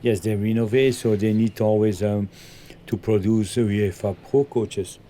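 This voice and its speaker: A man from Belgium, a football coach, answering interview questions in halting full of ums and loose grammar. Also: fairly low pitch, fairly even intonation, warm tone, a little hiss or hum.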